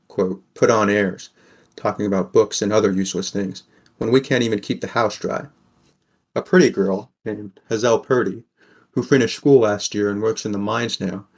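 A man's voice: fairly low pitch, lightly acted. A man playing a wary, sardonic sixteen-year-old boy who narrates an audio drama in the first person.

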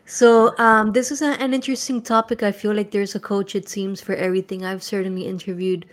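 A woman's voice: mid-range voice, little pitch movement, fairly quick. A woman with a mid-range voice hosts a business podcast. She asks her guest friendly, upbeat questions, with some fillers. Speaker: podcast host. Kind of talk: podcast interview.

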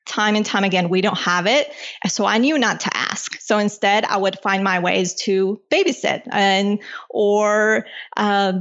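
A woman from Colombia and the United States chatting casually as an interview guest, halting as she thinks aloud. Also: medium pitch, steady tone, a bit bright. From born in Colombia, moved to the United States at eight. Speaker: podcast guest, personal finance author. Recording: slightly dull, lo-fi sound.

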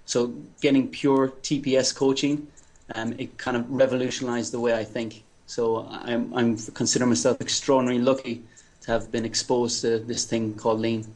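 Irish male guest on Skype, monotone delivery, mid pitch. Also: moderate pace. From Ireland. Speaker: guest, lean coach. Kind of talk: interview over Skype. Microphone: Skype call.

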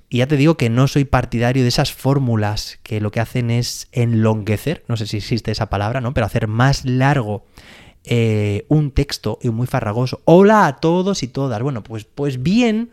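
A man's voice: medium pitch, darkish tone. A male teacher with a Spain Spanish accent hosts his own educational podcast. He explains at an easy, conversational pace and keeps checking in with the listener. His voice sits in a middle register. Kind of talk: solo educational podcast monologue.

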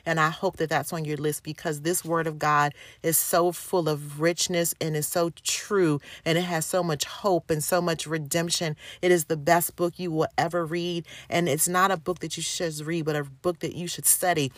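A woman's voice: sits low, steady tone, normal speed, few pauses, no echo. A middle-aged woman teaching a devotional lesson in an earnest, encouraging way, repeating key phrases. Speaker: Bible teacher.

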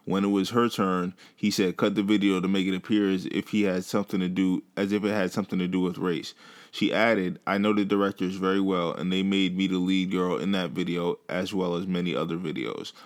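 A man co-hosting a casual gossip chat, calm, reading quotes aloud and teasing dryly. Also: deep voice, a little fast. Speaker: podcast co-host.

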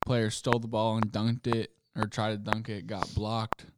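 A young man telling anecdotes in casual chat, in an informal, halting way. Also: lowish voice, completely flat delivery. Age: young adult. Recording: very noisy recording with clicks.

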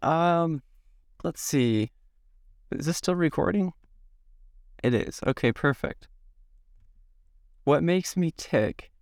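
A man from the Midwest in his thirties, slightly hoarse from a cold, answering interview questions to himself in a slow, halting, reflective way. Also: on the high side, lively intonation, darkish tone, dry recording, studio quality. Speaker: podcast guest. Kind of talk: self-interview.

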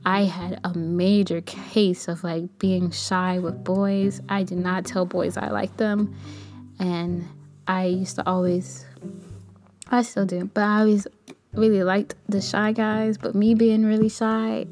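A young woman with a light, soft voice talking casually in a rambling, candid personal podcast monologue. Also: medium pitch, level tone, slow pace, faint music behind. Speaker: podcast host; kindergarten teacher.

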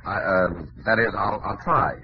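An actor playing a flustered, evasive bridegroom in an old radio comedy, stammering and dithering. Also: male voice, fairly low pitch, normal speed, lightly acted. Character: flustered evasive bridegroom caught between two wives. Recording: dull old radio recording with audience noise.

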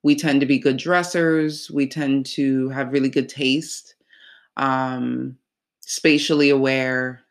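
A host speaking through a stuffy, nasal voice, taking it slow and easy. The talk wanders casually, dotted with ums and restarts, at a middling pitch. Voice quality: nasal, congested. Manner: relaxed, rambling with many fillers.

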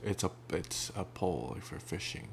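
Deep, gravelly man teasing his student. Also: animated, normal speed. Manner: teasing, terse, drills pronunciation. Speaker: language teacher. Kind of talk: casual conversation. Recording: faint background hum.

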